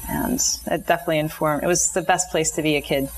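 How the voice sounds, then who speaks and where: A woman's voice: deep voice, steady tone, quick pace, faint music behind, rough recording. A woman from New England with an American accent, speaking as a guest artist in a casual chat. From New England, United States.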